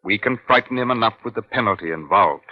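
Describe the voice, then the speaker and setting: An actor playing a calm middle-aged police inspector in a 1950s radio crime drama, measured, formal and dryly probing. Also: male voice, fairly low pitch. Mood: firm, reasoning. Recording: dull, band-limited old radio recording.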